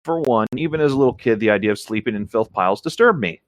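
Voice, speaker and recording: A man's voice: medium pitch, expressive, fast, no room sound. A man co-hosting a casual reading of a story, adding chatty asides as he goes. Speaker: co-host reading a story aloud with commentary.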